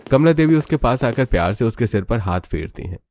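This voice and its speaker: A man's voice: mid-range voice, lively intonation, a little fast, a little mellow. A man narrating a scripted family cartoon story.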